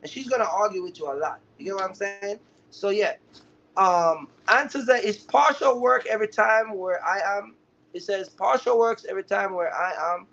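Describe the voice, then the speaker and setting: A man's voice: high-pitched, normal speed, creaky, a bit bright, slight background noise. A man hosting a casual livestream, teaching viewers in an emphatic way and often checking that they follow. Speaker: livestream host, trucking business mentor. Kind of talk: casual live chat to viewers. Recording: dull, lo-fi live stream audio.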